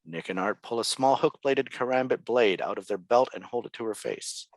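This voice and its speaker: A man's voice: mid-range voice, moderate pace, no echo. A man reading out scene descriptions as the narrator of a script. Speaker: stage directions narrator.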